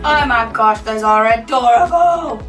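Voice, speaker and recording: A woman with a croaky, worn voice chatting casually to camera as a baking vlogger, bubbly and laughing easily. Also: on the high side, unhurried, a bit bright, reverberant, recorded from a distance, faint music behind. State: croaky, losing her voice.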